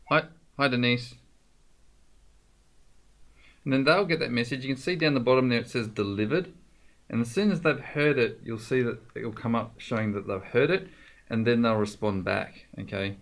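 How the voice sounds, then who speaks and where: A man presenting an informal software tutorial, explaining things directly to the viewer in a conversational way. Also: mid-range voice, vocal fry.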